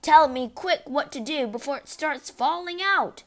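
Woman acting out a loud, blustering, outraged husband. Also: lowish voice, big pitch swings, measured pace. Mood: panicky. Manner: shouting, indignant, panicky. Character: blustering, outraged middle-aged husband and father.

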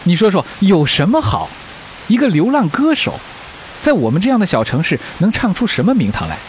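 Stern middle-aged father, animated pitch. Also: brisk, rough recording. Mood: stern, pleading. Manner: firm, lecturing. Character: stern, protective widowed father of a teenage girl. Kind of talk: Chinese radio drama acting. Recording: faint hiss, rain-like noise.

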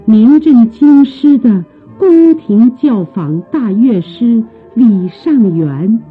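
A woman narrating a radio drama in a measured storytelling style with deliberate phrasing. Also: a little high, expressive, breathy edge, soft background music. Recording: muffled phone-like old radio recording.

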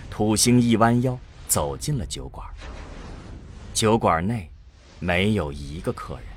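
A male narrator tells a scripted drama story in a low voice. His pitch swings expressively while the pace stays slow, with music underneath.

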